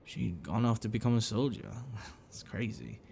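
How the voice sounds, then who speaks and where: A gentle, bookish half-dragon boyfriend, acted by a man in an intimate ASMR roleplay. His voice is low and gravelly, with lively swings in intonation. He speaks haltingly and tenderly, as if apologizing to a sweetheart. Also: a little hiss or hum. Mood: wistful, reassuring. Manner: halting, soft chuckles and sighs, dropping to whispers.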